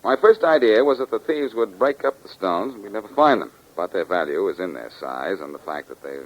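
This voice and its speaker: Wisecracking 1940s radio sleuth, lively deep voice. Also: male voice, a little fast. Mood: brisk, amused. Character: wisecracking, confident amateur sleuth, cool and teasing. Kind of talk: 1940s radio detective acting. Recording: dull old 1940s radio recording.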